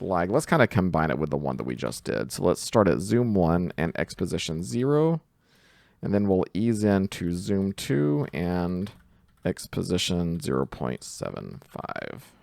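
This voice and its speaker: A man with a very low voice walks through a software tutorial step by step. His delivery is informal and lively in pitch, as a host talking over his screen. The sound is dry and close to the mic. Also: measured pace, gravelly, no echo. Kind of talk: casual screen-share tutorial narration.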